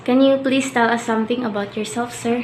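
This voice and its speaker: A woman's voice: a little high, steady tone, brisk, slight background noise. A young woman with a Filipino accent, politely and deferentially asking prepared interview questions as a student interviewer. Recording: echoey room, music behind.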